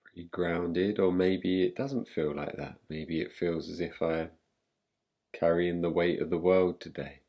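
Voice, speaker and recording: A man with a very low, gravelly voice leads a guided meditation. He speaks very slowly and gently, letting long pauses fall between phrases. His delivery is calm and unhurried, with a creaky edge to the deep tone. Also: highs cut off. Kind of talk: guided meditation, yoga nidra.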